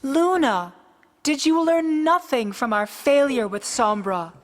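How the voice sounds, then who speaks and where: A woman's voice: sits high, lively intonation, slow. A woman playing a grave, caring pony princess and elder sister in a fantasy radio drama, speaking in a formal, stately way. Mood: stern. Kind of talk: fan-made fantasy radio drama acting. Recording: long reverberant hall.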